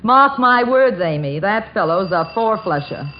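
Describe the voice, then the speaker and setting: A muffled, faintly noisy vintage radio recording. An actress plays a blunt, skeptical married sister whose sardonic delivery is full of lively pitch movement. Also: female voice, measured pace, lightly acted. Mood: mocking. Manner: sardonic, knowing. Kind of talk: 1940s radio comedy acting.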